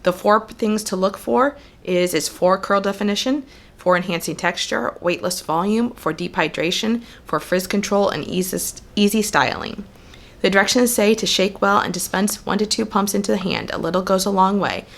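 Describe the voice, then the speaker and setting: A woman with a hoarse voice from a cold, chatting casually as a hair vlogger and reading product labels aloud in a methodical way. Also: mid-range voice, moderate pace. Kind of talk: casual product review while styling.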